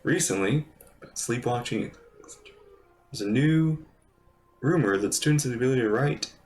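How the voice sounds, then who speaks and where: A man's voice: medium pitch, animated, moderate pace, darkish tone. Casual male streamer, faint noise. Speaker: streamer reacting to a game. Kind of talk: casual live commentary.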